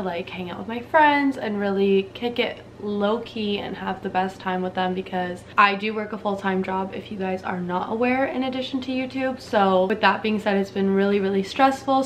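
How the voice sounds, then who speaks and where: A woman's voice: mid-range voice, a little hiss or hum. Chatty young woman vlogging at home. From Canada. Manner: chatty, bubbly, slangy. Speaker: beauty vlogger. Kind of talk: casual chat to camera. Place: small dim room indoors.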